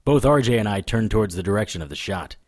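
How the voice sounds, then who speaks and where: An actor playing a gruff, wry, hard-drinking brawler who tells his own story in a hard-boiled audio drama. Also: fairly low pitch, animated, fast talker. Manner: sardonic, terse.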